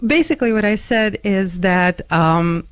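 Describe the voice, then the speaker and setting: A middle-aged woman hosting a radio interview in a studio, chatty and quick to laugh, with many fillers. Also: mid-range voice, animated, clean tone, no echo. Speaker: radio host. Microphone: studio microphone.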